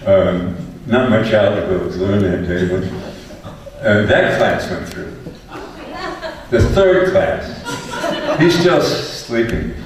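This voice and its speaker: Older man telling chuckling stories in an echoey hall. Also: lowish voice. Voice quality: fairly loud voice. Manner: chuckling, rambling, often restarts his sentences. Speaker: panelist, former math teacher. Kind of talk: relaxed anecdotal storytelling to an audience. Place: large echoey event hall with a live audience. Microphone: distant microphone. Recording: echoey hall, distant mic, faint hiss.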